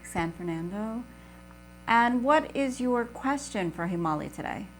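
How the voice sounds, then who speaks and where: A woman's voice: fairly high pitch, animated, a little slow. A woman hosting a live call-in show, asking callers short questions to take their details. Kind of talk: brief live intake questions. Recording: noisy audio with electrical hum.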